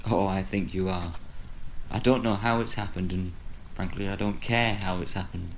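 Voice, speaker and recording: Low, gravelly man acting a brooding storyteller. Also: steady tone, normal speed, low-quality recording. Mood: accusing, bitter. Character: weary, bitter retired horror storyteller confronting his creation. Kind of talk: audio drama monologue and dialogue. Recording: faint background noise.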